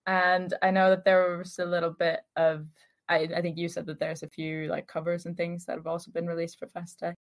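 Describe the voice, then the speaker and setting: A woman's voice: on the low side, level tone, a little fast. A woman in her twenties hosting a casual fan chat podcast. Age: 20s. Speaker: podcast host.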